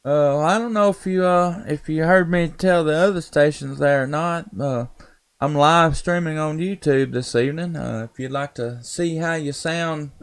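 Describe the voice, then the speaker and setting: Gravelly ham radio man. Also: on the high side. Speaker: amateur radio operator and live streamer. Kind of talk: casual ham radio chat.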